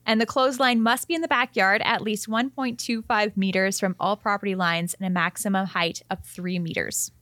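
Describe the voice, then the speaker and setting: A Canadian woman co-hosts a casual chat in a bright, forward voice at an average pitch. She is talkative and often turns to her partner with questions. The recording is close and dry. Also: steady tone, normal speed, hardly any pauses, no echo. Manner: chatty, often asking questions. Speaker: show co-host.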